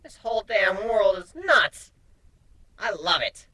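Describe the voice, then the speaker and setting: A man putting on an excitable, high-pitched whiny pony. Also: slow pace, exaggerated voice. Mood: excited. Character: brash, excitable male pony Nightmare, whining and cocky.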